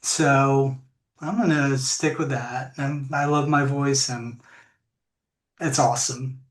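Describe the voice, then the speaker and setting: A woman with a deep voice, a podcast guest talking things through in a reflective way, often pausing and restarting. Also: steady tone. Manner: reflective, unhurried, many fillers and restarts. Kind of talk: casual interview talk. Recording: echoey room.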